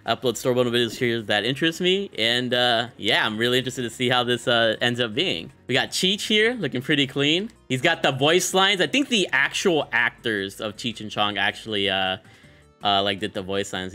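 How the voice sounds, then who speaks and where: A young man presents a video game showcase with upbeat, slangy commentary. His voice is bright and punchy at a middling pitch. He sits close to the mic, with faint music behind him. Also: lively intonation, moderate pace. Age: young adult. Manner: slangy, enthusiastic. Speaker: gaming content creator and host. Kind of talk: casual excited game bundle showcase commentary.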